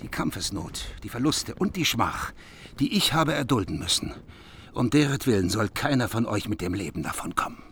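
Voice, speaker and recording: Stern grim king, lowish voice, faint background noise. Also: very animated, normal speed, a bit bright. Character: grieving, unforgiving king, older man. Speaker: actor in radio play.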